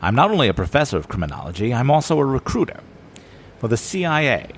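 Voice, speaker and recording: Male professor-spymaster: formal, smooth, secretive, mid pitch with sweeping intonation, audio drama. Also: very animated, quick pace, a little hiss or hum. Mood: grave, secretive. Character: suave, secretive criminology professor man who recruits spies.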